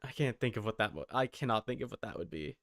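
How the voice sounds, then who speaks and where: A man's voice: fairly low pitch, expressive, quick pace, fairly bright tone, no room sound. A man streaming casual reaction commentary, thinking aloud in broken phrases close to the mic.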